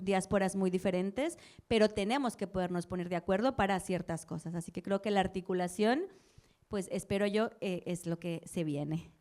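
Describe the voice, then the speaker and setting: A woman's voice: medium pitch, a little mellow. Woman organizer, mid voice, thoughtful, says no? a lot. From Colombia. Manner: thoughtful, reflective, ends phrases with tag questions. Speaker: panelist, community organizer. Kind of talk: public panel discussion.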